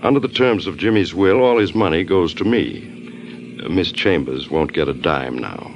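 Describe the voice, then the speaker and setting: An actor playing a sardonic, self-satisfied American gangster in an old radio crime drama. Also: male voice, low voice, moderate pace, slight background noise. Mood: smug, sardonic. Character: smug male gangster, back from supposed death. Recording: dull old radio recording.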